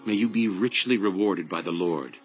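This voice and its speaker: A man playing a kindly, authoritative landowner in a dramatized scripture reading, measured and benevolent. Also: low-pitched, lightly acted. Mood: kindly, assured. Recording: dull lo-fi audio over music.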